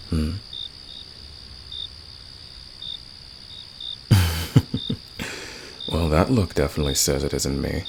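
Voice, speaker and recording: ASMR roleplay villain, male performer: very low, creaky voice, smug, probing and flirtatious. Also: noisy background. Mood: teasing, flirtatious. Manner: teasing, probing, drawn-out pauses. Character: flirtatious, teasing male fantasy villain, smugly confident. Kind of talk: ASMR roleplay, intimate scripted monologue.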